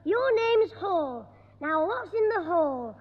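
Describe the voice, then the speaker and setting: Cheeky, scheming schoolboy, very high voice, old radio sound, dull and echoey. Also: male voice, very animated, full character voice. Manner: cheeky, quick-witted, stammering when caught out. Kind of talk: 1960s radio sitcom acting. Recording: dull old radio broadcast with long reverb.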